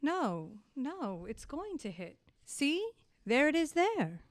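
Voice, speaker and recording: A woman acting as a busy, no-nonsense scientist in a radio play. Her fairly high voice moves up and down a lot and is recorded dry and close. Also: big pitch swings, takes it slowly, dry recording, exaggerated voice. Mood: brisk, assured. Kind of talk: scripted audio drama acting.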